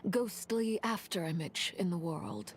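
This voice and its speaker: An actress voicing a composed, commanding adult woman in a game, speaking in a calm, measured, formal way. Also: lowish voice, slight background noise, close to an everyday voice.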